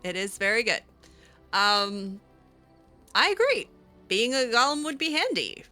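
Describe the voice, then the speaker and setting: Bright, lively, unhurried woman roleplayer. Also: a little high, soft background music. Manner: hesitant and giggly, many ums and restarts. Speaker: game roleplayer. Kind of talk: live roleplay with game characters.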